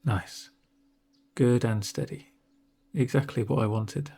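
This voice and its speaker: Soft-spoken man, ASMR doctor roleplay, gravelly fry, unhurried, gentle and reassuring. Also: medium pitch. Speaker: ASMR performer playing a doctor.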